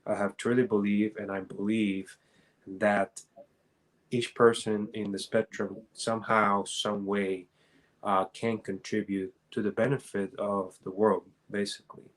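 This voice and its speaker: A man from Rico, giving an earnest, halting, unscripted answer as an advocate on a panel. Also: lowish voice, level tone, low-quality recording. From Puerto Rico.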